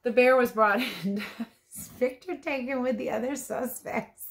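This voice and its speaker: A woman's voice: on the high side, measured pace. Woman reading a storybook with playful asides. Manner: playful, chatty, commenting on the pictures as she goes. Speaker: teacher reading a picture book aloud. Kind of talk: storybook read-aloud with casual asides.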